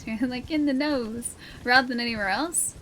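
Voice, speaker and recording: Bright-toned Australian woman gaming streamer. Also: a little high, moderate pace, slight background noise, low-quality recording. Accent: mild Australian. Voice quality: slightly nasal. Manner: chatty, thinks aloud, laughs often. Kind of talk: casual gameplay commentary.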